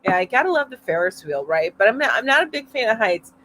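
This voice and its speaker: A chatty middle-aged woman hosting a live stream from home, her voice sitting a bit high. She rambles along with fillers and little laughs as she reads out comments. She sounds a touch stuffy from allergies. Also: big pitch swings, fast talker, bright, forceful tone, slight background noise, rough recording. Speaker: host of a weekly live chat. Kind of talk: casual live-stream chat reading viewer comments. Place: home, mountain area.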